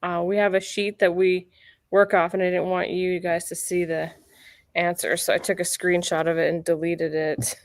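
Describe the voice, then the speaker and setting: A woman's voice: fairly low pitch, flat delivery, moderate pace, no room sound. Upbeat woman realtor host. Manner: chatty, upbeat, self-correcting. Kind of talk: casual real estate chat. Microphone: computer mic near a keyboard.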